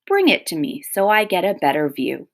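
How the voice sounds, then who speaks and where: A woman voicing a wise, patient old koala grandfather in a children's storybook, kindly but exacting, giving measured instructions. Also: on the low side, huge pitch swings, creaky, heavily acted. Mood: kindly, instructive.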